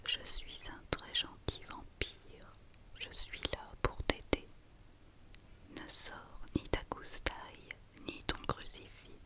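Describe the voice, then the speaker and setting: A woman voicing a gentle, timid little boy vampire in a whispered storybook, hesitant and reassuring. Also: slow, lightly acted.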